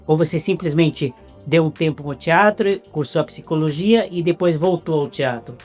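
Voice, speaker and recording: A man's voice: on the high side, normal speed, a little mellow, soft background music. A man with a Brazilian Portuguese accent hosting a casual interview, often repeating words as he gets going. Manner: stammering repetitions. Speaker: interviewer host.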